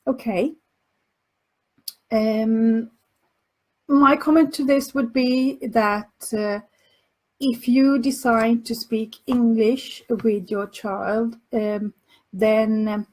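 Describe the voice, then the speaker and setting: Female podcast host, Finland Swedish accent, unhurried, halting advice delivery with frequent ums. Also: a little high, steady tone, a little mellow, poor audio. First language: Finland Swedish dialect. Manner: hesitant, many fillers and restarts. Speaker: podcast host and language coach. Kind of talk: answering listener questions.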